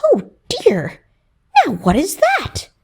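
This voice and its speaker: A woman's voice: sits high, wildly swooping pitch, slow pace, creaky, background noise, pitched above the performer's own voice, full character voice. A woman voicing a puzzled, timid little mouse in a children's storybook. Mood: alarmed.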